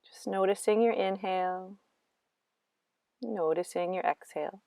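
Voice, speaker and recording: A woman guiding a meditation in slow, gentle instructions with long pauses between phrases. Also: medium pitch, gravelly, no echo. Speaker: meditation guide. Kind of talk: guided meditation narration.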